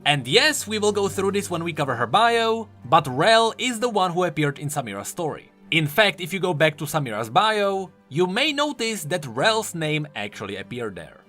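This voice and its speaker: A man's voice: high voice, big pitch swings, moderate pace, hardly any pauses, bright, forceful tone, faint music behind. A man presenting explanatory video commentary on game lore, informal and emphatic in his delivery. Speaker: YouTube game lore host.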